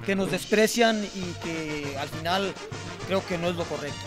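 Singer guy from jalisco, bit high voice, stops and restarts a lot, loud music behind. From Jalisco, Mexico. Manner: hesitant, halting, many restarts. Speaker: singer guest. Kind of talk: casual interview answers. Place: outdoor fairground patio.

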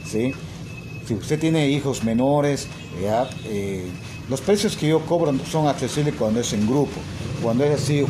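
A man talks casually into a phone held at arm's length in a small room. He teaches languages and hesitates a lot, filling pauses and tagging questions onto his sentences. A steady background hiss runs under the recording. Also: medium pitch. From Peru. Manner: hesitant, many fillers and tag questions. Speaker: English teacher and language school owner. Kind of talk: casual selfie video talk.

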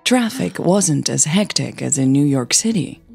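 Slow, very breathy woman narrator. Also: low-pitched, expressive, soft background music. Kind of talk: scripted fiction narration.

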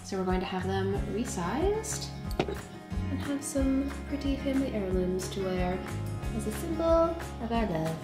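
A woman's voice: on the high side, roomy sound. A woman in her thirties chatting casually to a camera as a vlog host.

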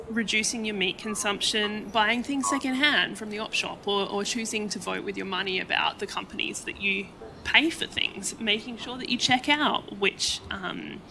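A woman's voice: medium pitch, creaky, bright, forceful tone, slight background noise. An Australian woman on a public panel, earnestly encouraging small everyday actions, often repeating her words. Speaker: panel guest. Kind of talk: panel discussion answer.

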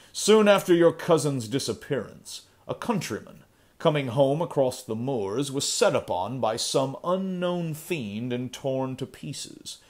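A man's voice: medium pitch, pitched above the performer's own voice. A man voicing a somber male Puritan adventurer who speaks in a solemn, old-fashioned, declaiming way. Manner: solemn, archaic, declamatory speech.

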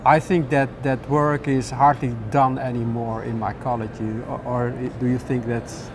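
A man's voice: medium pitch, little pitch movement, normal speed, background noise. An older man interviewing a colleague, asking hesitant questions that repeat words, on a lapel mic in a large glass atrium. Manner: hesitant, repeats words while finding them.

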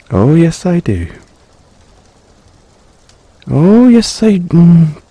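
A man playing an affectionate, self-doubting middle-aged dad in an intimate audio roleplay, speaking hesitantly and tenderly with gentle teasing. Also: fairly high pitch, big pitch swings, drawn-out words, breathy edge, no room sound, heavily acted. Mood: teasing, fond. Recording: rain-like ambience noise behind.

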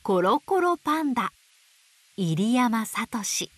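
A woman playing a gentle, motherly owl teacher who reads picture books to toddlers with playful sound words. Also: fairly high pitch, sing-song, takes it slowly, breathy edge, full character voice. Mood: playful storytelling. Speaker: picture book storyteller for babies. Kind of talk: picture book read-aloud for toddlers. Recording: faint background noise of nature. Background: nature sounds.

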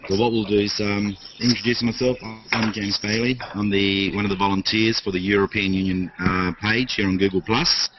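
A man's voice: fairly low pitch, level tone, moderate pace, few pauses, noisy background. A young man hosting a video call panel, introducing himself with many hesitant fillers. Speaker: host. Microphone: headset on video call. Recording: dull lo-fi video call audio.

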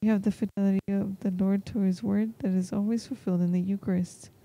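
A woman giving a live spoken translation of a homily as an interpreter, in halting phrases. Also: mid-range voice, level tone, mellow, rough recording. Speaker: interpreter voicing a priest's homily in English.

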